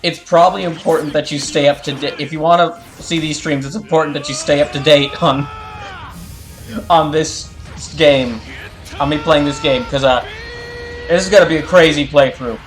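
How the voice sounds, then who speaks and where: Higher-voiced man streaming over music. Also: fairly even intonation. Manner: halting, rambling, self-correcting. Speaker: game streamer. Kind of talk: casual livestream talk to chat.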